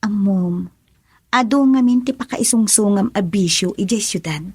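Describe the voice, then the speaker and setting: A woman's voice: medium pitch, moderate pace, a little mellow, slightly rough, no room sound. A woman playing an earnest young student daughter in a radio drama. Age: young adult. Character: young female student daughter, earnest, evasive with her mother. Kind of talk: Ilocano radio drama acting.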